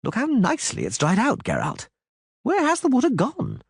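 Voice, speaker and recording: A man voicing an excitable, chatty male bard who talks quickly and mockingly. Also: extremely high pitch, vocal fry, breathy edge, no echo, clean studio sound, pitched above the performer's own voice, exaggerated voice.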